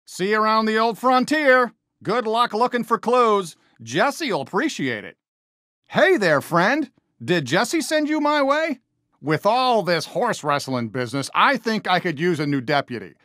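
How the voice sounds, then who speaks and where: A man's voice: high voice, sing-song, measured pace, bright, forceful tone, no echo, studio quality, exaggerated voice. A man voicing a friendly, upbeat toy cowboy sheriff for a video game, with an American accent and a folksy Western drawl. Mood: friendly, encouraging. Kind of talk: animated video game character lines.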